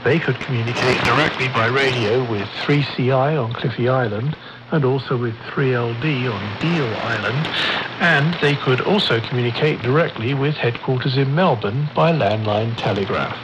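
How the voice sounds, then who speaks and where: A man's voice: medium pitch, normal speed. Man narrating over noisy shortwave radio. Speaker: radio program presenter reading a history feature. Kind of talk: scripted narration. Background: radio static and noise.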